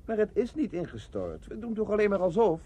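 A man's voice: high voice, wildly swooping pitch, a little fast, warm tone. Theatrical young man, swooping voice. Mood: teasing, puzzled. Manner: theatrical, emphatic. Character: young man, Englishman in peril, teasing then commanding. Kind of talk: 1960s radio drama acting. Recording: noisy old radio recording.

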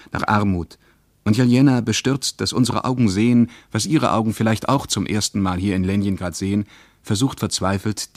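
An actor playing a male traveller reading his travel diary in a radio play, in a measured, observant literary manner. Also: low-pitched, no echo, natural voice.

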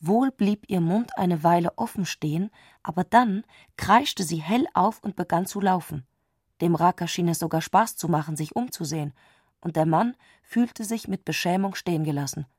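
A woman narrating a literary radio play, telling the story in measured, long sentences. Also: fairly low pitch, darkish tone, husky, dry recording, clean studio sound.

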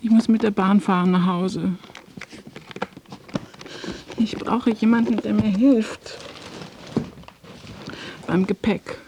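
An actress playing a stranded, demanding married woman traveller in a radio play, speaking in deliberate, imperious short phrases. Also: mid-range voice, fairly even intonation, background noise. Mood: plaintive. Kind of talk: German radio play acting.

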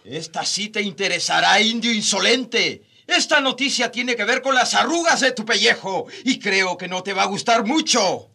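An actor in a radio drama playing a malicious Spanish soldier, mocking and gloating. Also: male voice, sits high, moderate pace, gravelly, few pauses, sharp tone, slightly rough, exaggerated voice. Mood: taunting.

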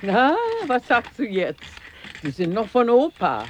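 A woman playing a fussy, determined, affectionate old grandmother in a radio drama, insistent and husky. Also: mid-range voice, very animated, slight background noise. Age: older. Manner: brisk commands, fussing, insistent.

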